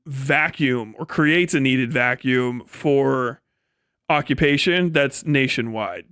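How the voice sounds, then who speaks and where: A man with a fairly high, clean and smooth voice talks casually as a live streamer, close and dry with little room sound. He is blunt and sweary, wandering through his opinions in a mix of formal words and slang. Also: a bit bright, no room sound. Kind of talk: casual opinionated livestream talk.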